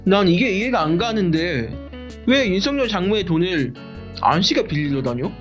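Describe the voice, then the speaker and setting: Woman voicing an excitable, swooping storybook sidekick. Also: fairly low pitch, normal speed. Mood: incredulous. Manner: exclamatory, incredulous questioning. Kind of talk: animated explainer dialogue. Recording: music bed behind the voice.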